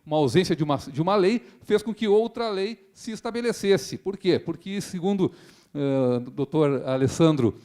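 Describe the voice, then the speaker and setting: A man's voice: a little high, animated, moderate pace, warm tone. A man, a public prosecutor giving a formal seminar speech in an earnest, rhetorical way with frequent tag questions. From Rio Grande do Sul, Brazil.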